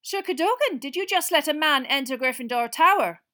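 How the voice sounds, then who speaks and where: A woman's voice: mid-range voice, expressive, a little fast. A woman voicing a strict, imperious older female schoolteacher in a storybook, stern and exasperated.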